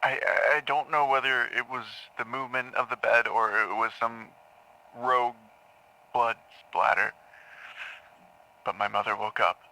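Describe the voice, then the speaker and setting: An actor playing a haunted man in an audio drama, confessing in halting, repetitive phrases to a grim crime. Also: mid-range voice, fairly even intonation, gravelly, sharp tone, heavily acted, poor audio. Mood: numb, grim. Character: haunted man confessing to killing his parents in therapy. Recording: taped therapy session sound.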